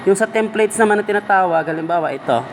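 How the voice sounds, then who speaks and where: A man's voice: high-pitched, fast, a little hiss or hum. A man with a Filipino accent giving a casual step-by-step tutorial, talking informally as if to a buddy. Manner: informal, addresses viewer as a buddy. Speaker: video editing tutorial presenter.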